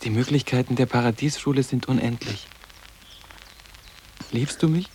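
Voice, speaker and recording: A man's voice: mid-range voice, normal speed, a little mellow, slight background noise, close to an everyday voice. An actor playing a sarcastic, detached teenage boarding-school boy in a radio play, with terse, ironic and poetic phrasing. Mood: wry, musing. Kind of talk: 1980s German radio play acting.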